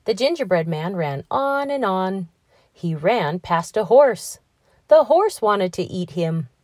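A woman narrating a children's story as an expressive read-aloud host. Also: medium pitch, takes it slowly, dry recording, studio quality. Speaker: storybook reader, channel host.

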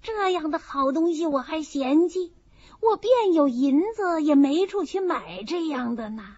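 A woman's voice: high voice, animated, unhurried, darkish tone, no echo, pitched above the performer's own voice. A woman voicing a good-humoured, humble old peasant granny in an audiobook, folksy and full of exclamations. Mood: grateful, embarrassed. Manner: folksy, exclaiming, self-effacing. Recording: dull, muffled audio.

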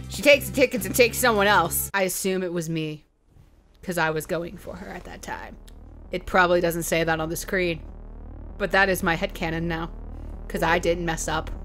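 A woman's voice: medium pitch, fairly bright tone. A woman casually recapping a video game as host, chatty, joking and sweary, with playful asides. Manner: chatty, sweary, with playful mock voices for quotes. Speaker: let's play host.

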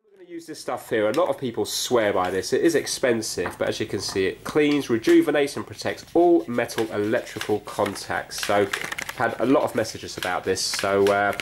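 A man's voice: fairly low pitch, normal speed. A middle-aged British man giving casual, explanatory commentary as he thinks aloud through a hands-on repair. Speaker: repair vlog presenter. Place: indoor room with wooden floor, working at floor level. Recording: clear background noise with clicks.

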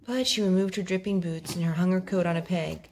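A woman reading a picture book aloud at home, expressive and gentle, as a host talking to small children. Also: fairly low pitch, a little fast. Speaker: storytime host, reader to young children. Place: home living room with wooden floor. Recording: home video with clear background noise.

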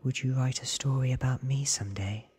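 A man voicing a weary, ancient female vampire in a hushed, blunt, whispery ASMR storybook reading. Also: mid-range voice, a little fast, pitched above the performer's own voice. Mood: gently urging. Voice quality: breathy, whispery.

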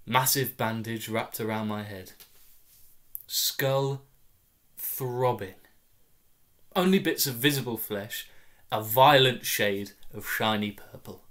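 A man in his twenties performing a comic first-person story in a wry, deadpan way with clipped phrasing. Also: medium pitch. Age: 20s. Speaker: story reader. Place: small room at home, indoors.

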